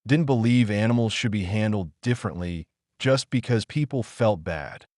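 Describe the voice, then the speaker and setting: A man's voice: lowish voice, moderate pace, darkish tone, dry recording, clean studio sound, close to an everyday voice. A man voicing a blunt, combative, sardonic female zoo worker in an audiobook.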